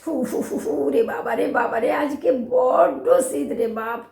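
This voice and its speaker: A woman's voice: a little high, lively intonation, darkish tone, echoey room. An actress playing a poor, querulous but motherly old woman with an East Bengali dialect, in a plaintive, grumbling audio drama style. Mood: shivering, miserable. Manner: plaintive, grumbling, drawn-out speech.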